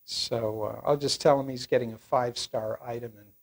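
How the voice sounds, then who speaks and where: An older man giving a casual product review in a rambling, halting way. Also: mid-range voice, fairly even intonation, gravelly.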